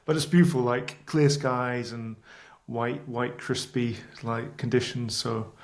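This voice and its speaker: Man with a gravelly Scottish voice. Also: mid-range voice, unhurried. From Scotland. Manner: relaxed, rambling with restarts. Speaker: podcast guest. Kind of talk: casual interview chat.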